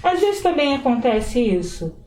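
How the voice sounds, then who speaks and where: A woman's voice: high-pitched, measured pace, echoey room, over a noisy crowd, poor audio. A woman from Rio de Janeiro hosting an interview, telling stories expressively. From Brazil, Rio de Janeiro. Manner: expressive, animated storytelling. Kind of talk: conversational interview.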